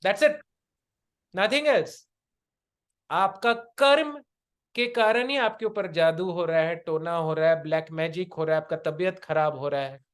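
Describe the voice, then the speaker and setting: A man's voice: a little high, measured pace, a bit bright, clear, resonant tone. A man with an Indian accent giving an interactive spiritual lecture in a didactic, questioning manner, as a coach teaching a group. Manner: didactic, rhetorical questions, switches between Hindi and English.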